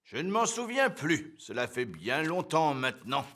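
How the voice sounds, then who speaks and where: A man voicing a blustery, grumbling father in a French children's cartoon dub, quick to sound indignant. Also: a little high, big pitch swings, fairly bright tone. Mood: gloomy, reluctant.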